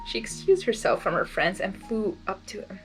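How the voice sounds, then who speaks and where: A woman's voice: mid-range voice, normal speed, faint music behind, poor audio. A woman doing a blind reading of a fan story, stumbling on words and adding gushing asides. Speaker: reader.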